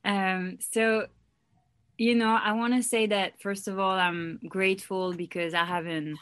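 A woman's voice: medium pitch, unhurried, clean tone. A young woman from France, a singer talking casually in an interview, full of "like" and "you know". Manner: relaxed, loose, heavy with "like" and "you know". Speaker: singer and songwriter guest.

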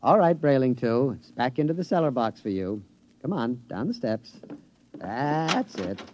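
An actor playing a meek, flustered husband who blusters and stammers, in the manner of a classic radio drama. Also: male voice, mid-range voice, lively intonation, a little slow, a little mellow, a little hiss or hum, lightly acted. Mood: brisk, genial. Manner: blustering, stammering under pressure. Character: meek, apologetic husband scheming for freedom, increasingly flustered. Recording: dull old radio recording, long reverb.

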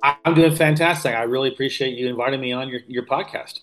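A man's voice: medium pitch, quick pace, a bit bright, soft background music, poor audio. A man in his fifties with an American accent, talking casually as an interview guest and rambling through personal stories with frequent self-corrections. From Missouri, United States. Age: 50s. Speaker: podcast guest, real estate investor. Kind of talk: casual anecdotal interview talk.

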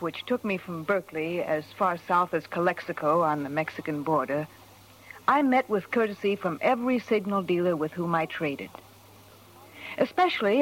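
A woman's voice: mid-range voice, slight background noise, natural voice, low-quality recording. Woman as a formal radio testimonial reader. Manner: formal, careful reading. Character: courteous female customer reading her letter. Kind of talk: 1940s radio testimonial, scripted reading.

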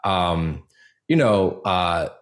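A man speaking as an interview guest in a casual, earnest way, pausing often as he searches for words. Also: low voice, expressive. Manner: halting, searching, full of pauses and filler likes.

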